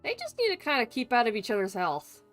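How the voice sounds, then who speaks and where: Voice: mid-range voice, huge pitch swings, fast talker, a bit bright, faint music behind. A streamer giving casual, blunt, sweary commentary while playing a video game.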